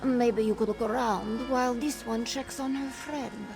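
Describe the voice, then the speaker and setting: Woman playing a higher-pitched cat-folk game character. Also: fairly even intonation, measured pace, creaky, over music. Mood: aggrieved. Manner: refers to herself in third person. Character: female cat-folk captive who speaks in third person. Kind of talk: video game quest dialogue, AI voiced.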